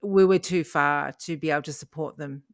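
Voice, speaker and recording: A middle-aged woman talks in a relaxed, meandering way, restarting her sentences and laughing often. Her voice sits low for a woman, and the recording is close and dry. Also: a little fast, no echo. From Australia. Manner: rambling, frequent restarts and laughs. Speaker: podcast co-host. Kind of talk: casual chat.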